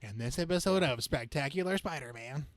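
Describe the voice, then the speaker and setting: A man's voice: medium pitch, animated, a little fast, a little hiss or hum. A man hosting a podcast, chatting casually and jokily with friends. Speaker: podcast host. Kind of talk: casual joking chat among friends.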